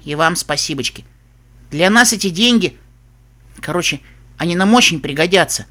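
A man's voice: high voice, expressive, moderate pace, pushed and bright. A man putting on a shy, eager pony girl. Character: shy, chirpy young pony girl guide, street-wise. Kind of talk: audiobook character voice.

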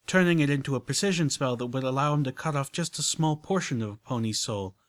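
A man's voice: medium pitch, no echo, studio quality, voice pushed up, lightly acted. A man voicing an elegant, prim unicorn mare who talks with genteel enthusiasm.

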